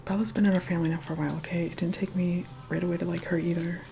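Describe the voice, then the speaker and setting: A woman's voice: lowish voice, little pitch movement, racing, creaky, noisy background. A woman playing a snobbish, reluctant young vampire in an ASMR roleplay, whispering in a sarcastic, bossy, condescending way. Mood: snide, grudging.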